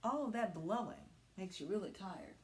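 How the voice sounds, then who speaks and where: A woman teacher reading a story aloud to young children, with dramatic, deliberate phrasing and hushed asides. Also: mid-range voice, animated, reverberant.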